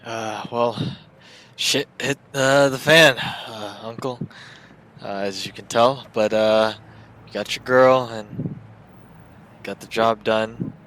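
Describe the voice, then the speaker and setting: A man's voice: medium pitch, fairly even intonation, slow pace, a bit bright. A man playing a subdued young getaway driver from a crime family in a tabletop game, casual and hesitant.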